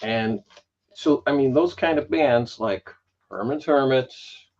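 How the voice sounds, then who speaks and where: A man's voice: medium pitch, a little mellow, highs cut off. A man in his sixties, a guest on a livestream, telling stories at an easy pace with lots of "you know". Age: 60s. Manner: storytelling, unhurried, with many "you know" asides. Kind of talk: casual chat.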